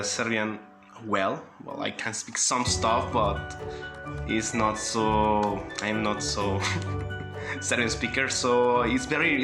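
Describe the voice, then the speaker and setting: A Mexican man, a native Spanish speaker, telling a casual vlog story in halting English with many fillers and laughs. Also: medium pitch. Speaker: vlogger. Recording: roomy echo with loud background music.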